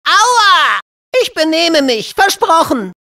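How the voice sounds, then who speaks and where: A woman's voice: very high voice, very animated, takes it slowly, bright, forceful tone, full character voice. A woman voicing a mischievous, gleefully wicked little imp boy in a cartoon video game, exclamatory and childishly taunting. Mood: whiny, pleading.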